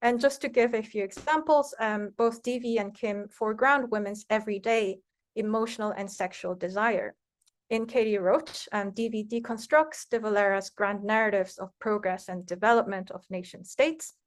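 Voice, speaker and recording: A woman giving a scripted academic conference presentation in a measured, formal way. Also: medium pitch.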